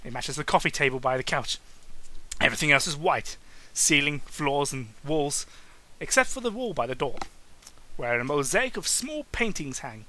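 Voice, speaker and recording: A man reads prose as a comedic dramatic performance in a fairly high, very bright and forceful voice. His delivery is exaggerated and goofy, and he trips over phrases and slips into silly asides. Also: lively intonation, moderate pace, rough recording. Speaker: amateur reader making a comedic dramatic reading. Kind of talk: hammy comedic audiobook-style narration.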